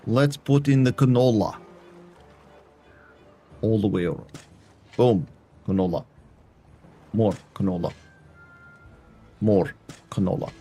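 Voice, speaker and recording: A man's voice: lowish voice, takes it slowly, long pauses, mellow, breathy edge, faint music behind. A Canadian man streaming a game, chatting to his viewers in a put-on foreign accent that drops articles. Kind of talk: casual gameplay chat with viewers.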